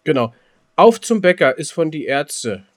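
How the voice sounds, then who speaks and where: A man with a slightly high voice chats casually as a podcast co-host. He teases as he goes and rambles through his stories, often repeating words. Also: sing-song, a little slow. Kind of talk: casual banter and storytelling.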